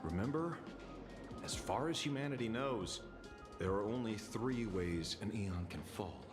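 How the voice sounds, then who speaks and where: A man playing a self-assured, dry and direct tough-guy detective in a video game, speaking deliberately and theatrically. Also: on the low side, animated, over music. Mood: confident. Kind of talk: video game story voice acting.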